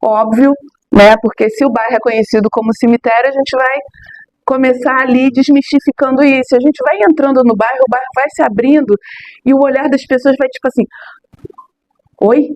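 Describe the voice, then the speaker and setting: A middle-aged woman from Rio de Janeiro, a local tour guide answering interview questions in an emphatic, expressive way with many tag questions. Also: high voice, fairly quick, dry recording, low-quality recording. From Rio de Janeiro, Brazil.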